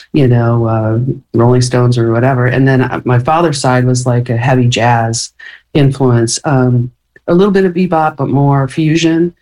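A woman's voice: extremely low pitch, mellow, rough recording. A woman guest on a podcast, talking loosely in a rambling way with many fillers and restarts, and laughing easily. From born in London, raised in Michigan. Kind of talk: casual interview.

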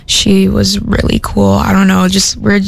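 A woman's voice: mid-range voice, completely flat delivery, a little slow, gravelly, no echo. A young woman from Minnesota, a radio host chatting casually between songs in an upbeat, rambling way full of "like" and "um," recorded in a studio. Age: young adult.

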